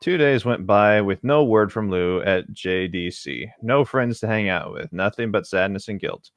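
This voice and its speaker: A man with a fairly low voice reads a story aloud and comments on it casually. The recording is close, with hardly any room sound. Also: moderate pace, no echo. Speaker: co-host.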